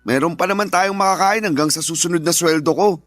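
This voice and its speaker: A man acts out a tired, dismissive Filipino working husband in a radio drama. His voice runs a little high, exasperated and evasive. There is faint music behind him. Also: quick pace, lightly acted. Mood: irritated.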